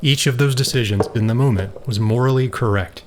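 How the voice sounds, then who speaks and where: Audio drama male actor as grave older-brother scientist, mid-pitched, earnest and formal, slight background noise. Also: a little fast, lightly acted. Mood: troubled, defensive. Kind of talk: scripted dialogue in a science fiction audio drama.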